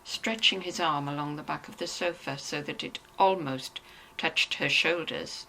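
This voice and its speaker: A woman narrating a novel in an expressive, scripted storytelling style. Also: deep voice, unhurried, a little hiss or hum, rough recording.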